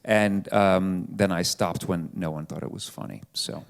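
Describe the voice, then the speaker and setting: Low creaky-voiced middle-aged man. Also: a little slow, a little hiss or hum. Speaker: panelist. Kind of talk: casual self-introduction to a live audience. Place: church panel before an audience. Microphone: live sound microphone.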